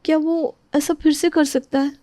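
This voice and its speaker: A woman's voice: high-pitched, level tone, normal speed, mellow, no room sound, clean studio sound, pitched above the performer's own voice, close to an everyday voice. A woman acting a meek, hurt young daughter-in-law in a family audio drama, plaintive and pleading. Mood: worried.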